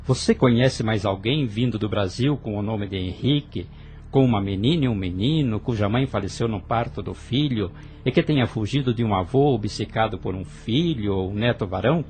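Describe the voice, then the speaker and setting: A man's voice: fairly low pitch, normal speed, darkish tone, slight background noise, lightly acted. A man voicing a young brother in an audio drama, earnest and hesitant as he confesses. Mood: tense. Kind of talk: radio drama acting.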